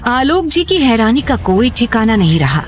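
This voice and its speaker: A woman's voice: on the high side, very animated, normal speed. Woman narrating drama over faint music. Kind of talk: scripted dramatic story narration. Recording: music under the voice.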